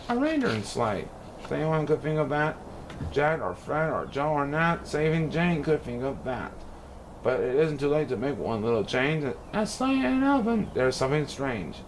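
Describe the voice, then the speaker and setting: A man reading a rhyming children's storybook aloud in a theatrical, expressive way. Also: fairly high pitch, normal speed, noisy background. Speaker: storybook reader.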